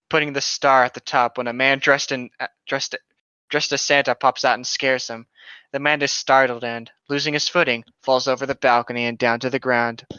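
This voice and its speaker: Jokey podcast guy, sharp bright voice, kinda lo-fi dull mic but no room echo, casual chat. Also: mid-range voice, smooth. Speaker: podcast co-host. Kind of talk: casual banter and creepypasta reading. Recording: slightly dull, lo-fi microphone.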